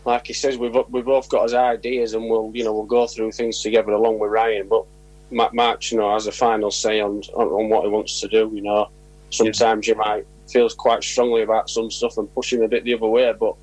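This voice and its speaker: A man's voice: lowish voice, level tone, brisk, a little mellow, rough recording. A man speaking as an assistant football manager in a casual interview, informal and matey in how he talks.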